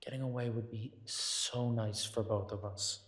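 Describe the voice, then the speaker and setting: A man acting an affectionate, world-weary werewolf detective in an intimate audio drama, soft and coaxing with thoughtful pauses. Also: fairly low pitch, steady tone, no room sound.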